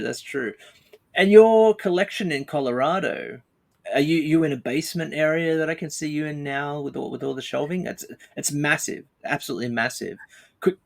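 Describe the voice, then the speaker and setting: A man hosting a podcast in casual chat, talking in a halting way and repeating words as he goes. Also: on the high side, gravelly. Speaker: podcast host.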